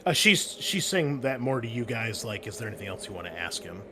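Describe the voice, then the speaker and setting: A man running a tabletop game as game master, talking in halting, stammering bursts. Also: mid-range voice, big pitch swings, sharp tone, slight background noise.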